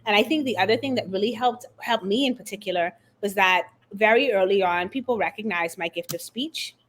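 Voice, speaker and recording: A middle-aged woman from the Bahamas, a guest author and confidence coach, telling her story in an expressive, animated way during an interview. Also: medium pitch, a little fast. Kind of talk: conversational interview.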